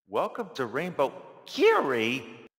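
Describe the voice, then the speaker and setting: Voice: medium pitch, wildly swooping pitch, slow, long reverb tail, voice pushed up, heavily acted. A man voicing a stern, unamused announcer who guards a magic world in a children's story. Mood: surprised.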